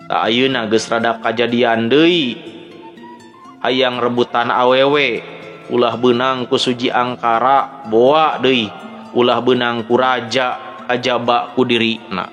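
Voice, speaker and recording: A man with a Sundanese accent speaking as a storyteller and host, in an expressive, conversational way. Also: mid-range voice, slow, highs cut off. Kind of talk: dramatic Sundanese folk-tale narration and listener greetings. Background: background music.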